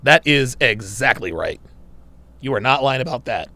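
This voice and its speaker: Animated man hosting a livestream. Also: mid-range voice, moderate pace. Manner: laughs often, animated and wry while reading comments. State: losing his voice. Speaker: livestream host reading super chats. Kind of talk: casual livestream chat.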